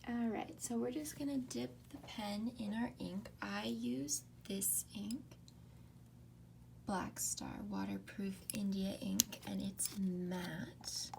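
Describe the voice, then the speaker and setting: A young woman hosting a calm ASMR painting tutorial, speaking softly and often slipping into whispers. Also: medium pitch, gravelly, background noise. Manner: soft, often whispered, unhurried. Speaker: art tutorial host. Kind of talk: soft-spoken ASMR drawing tutorial.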